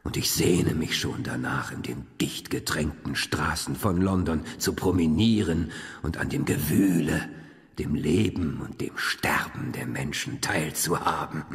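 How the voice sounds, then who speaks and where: A man playing a courtly, menacing old vampire count in a German radio horror drama, speaking formally and deliberately. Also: a bass voice. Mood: eager, brooding. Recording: long reverberant hall.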